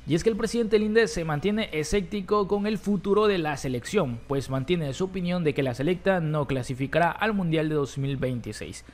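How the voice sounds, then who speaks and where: A man presenting a football news roundup for an online channel, brisk and informative. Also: on the high side. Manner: brisk, reads quotes aloud.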